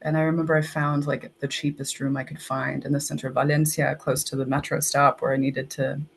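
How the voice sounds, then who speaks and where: A woman's voice: low-pitched, level tone, a little fast, gravelly, slight background noise. A young woman from Texas, a guest on a podcast, telling stories in a chatty way and often saying "like". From Texas, United States. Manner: chatty, uses "like" often, storytelling. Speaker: guest, English language assistant. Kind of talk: casual interview.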